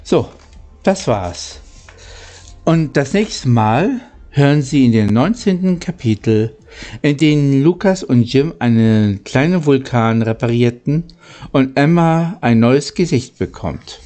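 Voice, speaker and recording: A man's voice: medium pitch, lively intonation, mellow. A man reading aloud as a storyteller and host, stumbling now and then over his words. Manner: halting reading with occasional misreadings. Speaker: audiobook reader and channel host. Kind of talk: storybook narration.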